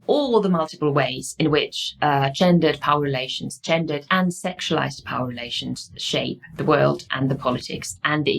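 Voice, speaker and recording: Finnish accented woman lecturing, low voice, lots of ums and restarts, thoughtful. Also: creaky. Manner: thoughtful, often halting with fillers and restarts. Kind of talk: explaining lecture.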